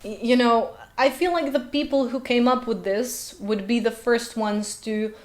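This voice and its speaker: A woman's voice: on the high side, unhurried. Sarcastic woman linguist. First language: Slovenian. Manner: sarcastic, full of rhetorical questions. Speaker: linguist and video host. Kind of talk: commentary vlog.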